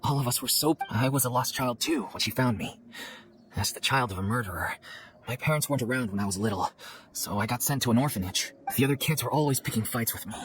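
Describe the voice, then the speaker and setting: A man's voice: mid-range voice, quick pace, a bit bright, slight background noise, lightly acted. An actor playing a plain-spoken young man of a theatre troupe, a former orphan, in a video game. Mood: reflective, sad.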